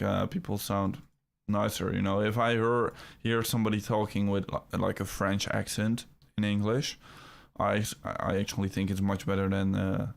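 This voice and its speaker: Young Dutch-accented male streamer, low-pitched and gravelly, rambling casual chat, slightly under the weather. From Netherlands. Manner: rambling, halting, with frequent fillers and restarts. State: feeling a little ill. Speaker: crypto livestream host.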